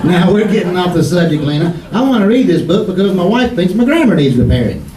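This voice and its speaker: A man's voice: high voice, big pitch swings, fast, warm tone. Blustery folksy husband played by a man, slangy and mock-pompous, old-time radio comedy, distant mic in a roomy hall. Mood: bumbling. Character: blustery, cocky, folksy husband, bad at grammar. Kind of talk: 1940s radio comedy acting. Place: theater stage before an audience. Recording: echoey live stage, distant mic, noise.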